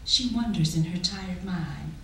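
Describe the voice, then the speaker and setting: Female poetry reader, expressive and widely varied in pitch, unhurried dramatic delivery, recorded distantly in a reverberant hall. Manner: expressive, deliberate, with drawn-out pauses. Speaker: poetry reader at a church performance. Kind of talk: dramatic spoken-word poetry recitation. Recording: echoey hall, distant mic, faint noise.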